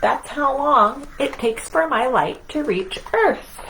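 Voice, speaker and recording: A woman plays a cocky storybook Sun, very full of itself. The voice is high and swings widely as it brags with theatrical emphasis, over clear background noise. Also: animated, slow pace, fairly bright tone, exaggerated voice, low-quality recording. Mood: proud, boastful. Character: boastful, cocky, self-important Sun, a star. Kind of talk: children's picture-book read-aloud.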